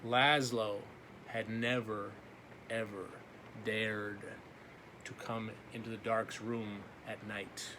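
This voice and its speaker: A man reading a children's story aloud expressively, with deliberate, dramatic pauses. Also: lowish voice, little pitch movement, noisy background. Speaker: children's story reader, teacher.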